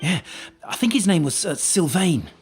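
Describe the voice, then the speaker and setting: A woman's voice: on the low side, big pitch swings, normal speed, a little mellow, faint music behind. An actress playing a gossiping townswoman in a video game. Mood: somber, hesitant.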